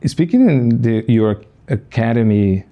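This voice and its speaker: A man with a Brazilian Portuguese accent, hosting an interview and speaking haltingly as he searches for words in English. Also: medium pitch, animated, dark tone, lo-fi sound. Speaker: host, interviewer. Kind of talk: conversational interview.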